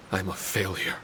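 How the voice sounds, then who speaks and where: A man voicing an earnest, anxious male guardian spirit in a video game, polite, formal and self-reproachful. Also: noisy background. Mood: apologetic, dejected. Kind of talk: video game character acting. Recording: game music behind voice. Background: game music.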